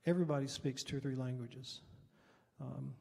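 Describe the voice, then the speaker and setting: A middle-aged American man, a pastor, telling personal stories in an informal, halting teaching style, often clearing his throat, with a husky, gravelly voice. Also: mid-range voice, steady tone, a little mellow. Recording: long hall reverb.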